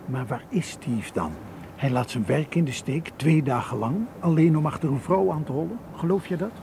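An actor playing a cautious, protective man in a radio drama, measured and admonishing. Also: fairly high pitch, creaky, mellow, a little hiss or hum. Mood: sober, doubtful. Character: cautious, protective male colleague, sober and worried. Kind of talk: Dutch radio drama acting.

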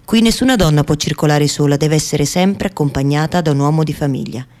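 A woman acting a fearful yet defiant Afghan woman in a radio theatre monologue, speaking in deliberate, repetitive short phrases. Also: low voice, dark tone, natural voice. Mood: wary, bitter. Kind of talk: dramatic first-person monologue, radio theatre.

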